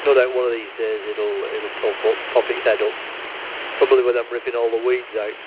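A man's voice: mid-range voice, little pitch movement, a little fast. An older man with a Lancashire accent, chatting casually and rambling as a ham radio operator outdoors by the sea. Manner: rambling, chatty, self-deprecating. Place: outdoors on a seafront promenade. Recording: tinny radio with hiss.

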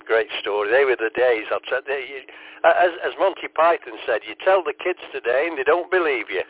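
A man's voice: medium pitch, expressive, fairly quick, husky. An older man, chatting in a friendly way as an amateur radio operator over two-way radio. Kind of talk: casual radio contact chat with call signs. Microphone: radio transceiver microphone. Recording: tinny, narrowband two-way radio.

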